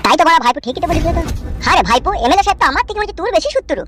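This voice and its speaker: Scheming, flattering village uncle played by a cartoon voice actor, high rapid voice, music underneath. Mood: coaxing, sly. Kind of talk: amateur Bengali cartoon comedy dialogue.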